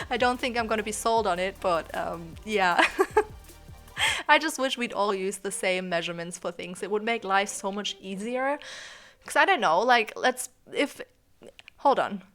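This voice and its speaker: A woman's voice: a little high, a bit bright. Young woman with a German accent blending American and British tones, rambling and chatty, laughs a lot, faint music behind. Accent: German, with American and British influences. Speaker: gaming channel host. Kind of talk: casual chat.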